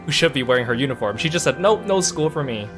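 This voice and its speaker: A man's voice: medium pitch, animated, quick pace. A man giving casual, rambling let's play commentary on a game, in a conversational way. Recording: game music behind voice. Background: video game music and dialogue.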